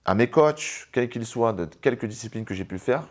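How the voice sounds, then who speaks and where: A man's voice: mid-range voice, lively intonation, normal speed. A man in his thirties, a para-judo athlete, giving earnest, emphatic interview answers. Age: 30s.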